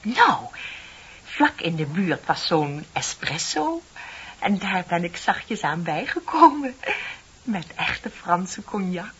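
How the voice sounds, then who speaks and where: An actress in a radio play voicing a middle-aged single woman, gushing, dramatic and romantically deluded, confiding in breathy swells. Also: fairly low pitch, sing-song, slow, a bit bright, full character voice. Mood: smitten. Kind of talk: Dutch radio play acting. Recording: dull, lo-fi radio play recording.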